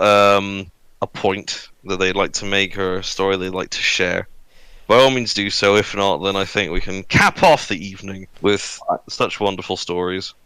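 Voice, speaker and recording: Bright, clear man, on the low side. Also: no echo, low-quality recording. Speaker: podcast host. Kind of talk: casual podcast hosting.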